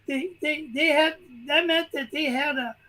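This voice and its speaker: An older man with a high voice, telling stories in a rambling, casual way as a guest on a video call. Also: fairly even intonation, a little slow, sharp tone. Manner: rambling, restarts sentences. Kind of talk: casual chat, storytelling.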